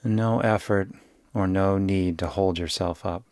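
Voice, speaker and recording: A man guiding a yoga nidra meditation in a soothing, unhurried way, giving gentle instructions with long pauses. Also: deep voice, steady tone, dry recording, studio quality. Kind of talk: guided meditation.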